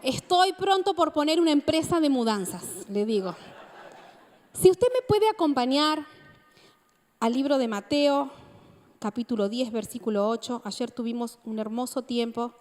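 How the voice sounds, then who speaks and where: A woman's voice: high voice, expressive, a little slow, darkish tone. A woman preacher from Argentina with an Argentine accent tinged with Mexican, giving a sermon and often asking the congregation questions. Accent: Argentine Spanish with a touch of Mexican. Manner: engaging, asks the congregation questions. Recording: reverberant hall.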